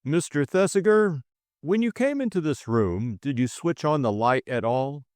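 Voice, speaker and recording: Man playing a probing, raised-pitch police superintendent. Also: lively intonation, darkish tone, no echo, clean studio sound, natural voice. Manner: probing, deliberate. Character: big, quiet male police superintendent, methodically questioning. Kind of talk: audiobook character voice.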